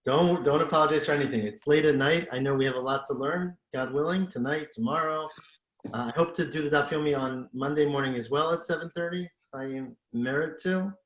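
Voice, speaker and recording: A man teaching a Talmud class over a video call, his voice carrying a roomy echo. He is friendly and brisk as he moves the session along. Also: on the high side, a bit bright. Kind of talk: opening a study session.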